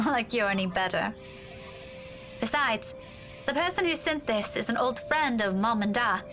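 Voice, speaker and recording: A woman playing an earnest, dutiful young woman in a visual novel game, conversational and gently coaxing. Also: fairly high pitch, animated, moderate pace. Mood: earnest, persuading. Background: game music.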